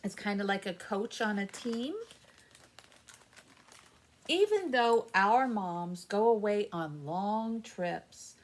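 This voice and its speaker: A woman's voice: mid-range voice, animated, slow, pauses often. A woman hosting a children's story time, explaining things in simple, encouraging words addressed to young kids. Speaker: library story time host.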